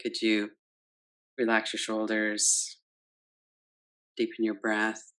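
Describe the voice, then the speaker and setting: A woman leading a guided yoga nidra meditation, gentle and unhurried, guiding with soft questions. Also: a bass voice, completely flat delivery. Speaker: meditation teacher.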